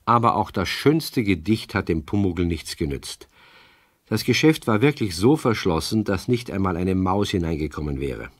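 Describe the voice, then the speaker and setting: A male narrator tells a children's story in a scripted style. His voice is deep and expressive, rising and falling freely, in a dry recording. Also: normal speed, no echo.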